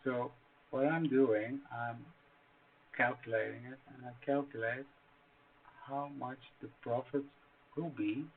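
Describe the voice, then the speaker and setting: A man from the Netherlands with a Dutch accent, explaining a trading strategy in English, which is not his first language. He talks haltingly, with many fillers and restarts. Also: mid-range voice, steady tone. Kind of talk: screen-share tutorial. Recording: tinny phone-like audio.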